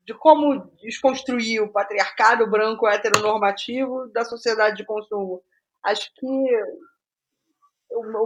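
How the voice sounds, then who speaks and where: A middle-aged Brazilian woman speaking as an interview guest, reflective and halting, qualifying her own words as she goes. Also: medium pitch, a bit bright, low-quality recording. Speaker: guest playwright.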